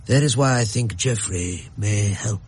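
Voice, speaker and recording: A man voicing a gentle, mystical old grandfather in a radio drama, speaking slowly and musingly. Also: fairly low pitch, slightly rough. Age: older.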